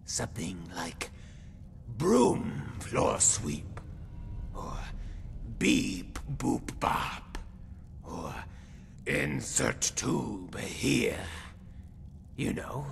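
A self-proclaimed lizard-man hero from a fantasy game. He speaks extremely slowly and pompously, relishing his own boasts. The voice is a croaking, breathy rasp, over clearly noisy game ambience. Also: on the low side, very animated, heavily acted. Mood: musing. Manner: slow, pompous, theatrical storytelling. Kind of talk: fantasy video game character monologue.

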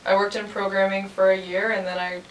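A woman's voice: mid-range voice, level tone, brisk. A woman in her twenties answering interview questions in a casual, informal, hedging way. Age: 20s. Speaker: college radio station manager, interview guest. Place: indoor lounge with amps and instruments. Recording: roomy, distant mic, faint noise.

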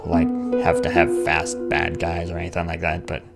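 A man's voice: deep voice, monotone, normal speed, creaky. A man giving casual, rambling gameplay commentary, muttering asides to himself. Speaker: gamer hosting a playthrough. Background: game music.

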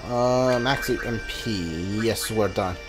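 A man's voice: on the low side, slow. A man giving casual gameplay commentary as a streamer, hesitant and thinking aloud as he goes. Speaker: video game streamer. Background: video game music.

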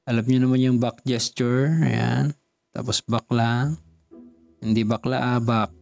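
A man's voice: medium pitch, level tone, a little slow, gravelly, darkish tone. A man with a Filipino accent giving a casual, joking step-by-step tutorial as host. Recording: muffled lo-fi with background music. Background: music and screen-reader speech.

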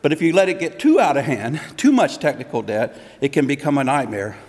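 A man's voice: medium pitch, animated, fairly quick, darkish tone. A middle-aged man speaking at a podium in a hotel conference room, giving an interactive, conversational talk as a consultant. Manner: conversational, restarts, laughs at own jokes. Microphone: podium mic. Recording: long hall reverb.

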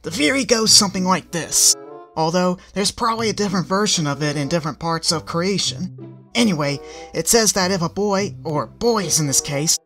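A woman's voice: fairly low pitch, moderate pace, fairly bright tone, faint music behind, voice pushed up. A woman voicing a smug, cocky young female dragon who explains things with a smirk.

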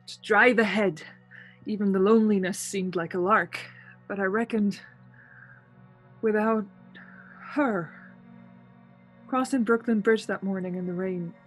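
A woman's voice: medium pitch, slightly rough. An actress playing a frightened young traveler who insists she is sane, in a suspense radio play, hesitating and repeating words.

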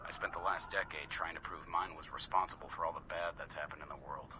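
An actor playing a grave, principled middle-aged resistance informant in a video game, speaking solemnly and deliberately. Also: male voice, rumbling low, steady tone, background noise. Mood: solemn, resigned. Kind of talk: video game radio message.

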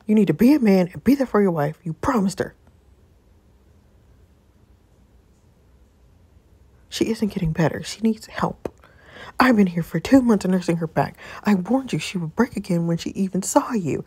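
A woman's voice: medium pitch, animated, a little fast, darkish tone, husky, no echo. A woman voicing a fierce, protective mother scolding her grown son, indignant and reproachful, in a dramatic story reading. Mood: angry scolding.

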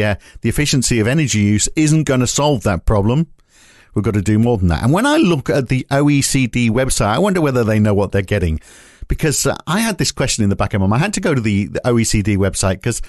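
A man's voice: mid-range voice, dry recording, clean studio sound. Wry man hosting a podcast. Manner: wry, sardonic, conversational. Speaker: podcast host. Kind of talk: opinionated commentary and interview.

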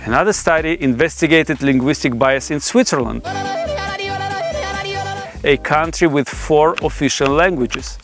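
A man in his forties gives a scripted explainer in a formal, academic manner, speaking as a presenter. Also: mid-range voice. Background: background music.

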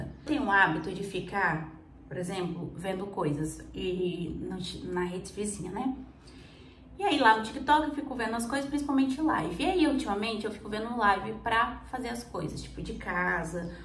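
A woman in her twenties with a cold, chatting casually to her viewers while she does her makeup, rambling and asking herself questions. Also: medium pitch. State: has a cold. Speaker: vlogger. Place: small indoor room. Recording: roomy, slightly distant mic, faint hum.

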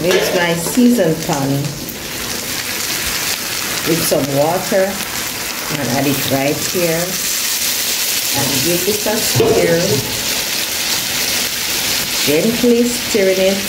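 A woman's voice: on the low side, very noisy. A woman talking viewers through her cooking in a home kitchen, often repeating herself. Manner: talks to viewers while cooking, repeats phrases. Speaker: cooking channel host. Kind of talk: casual cooking narration. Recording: echoey kitchen with frying sizzle.

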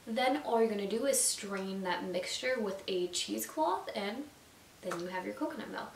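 A woman's voice: mid-range voice, animated, normal speed, creaky. A young woman with a slightly nasal, stuffy voice, chatting casually to camera about nutrition, full of "like" and "you know.". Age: young adult. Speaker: YouTube nutrition vlogger. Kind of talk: casual explainer talking to camera. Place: indoors at home, seated on a sofa. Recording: roomy echo, distant mic, faint hiss.

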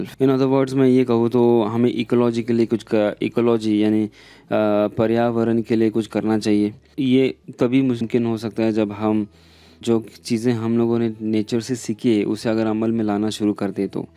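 A man who is an English lecturer, explaining a textbook chapter in Hindi. He reads the English lines slowly, then explains them in quick Hindi. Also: lowish voice, warm tone, clear, resonant tone, dry recording. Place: voice-over on a scrolled textbook page.

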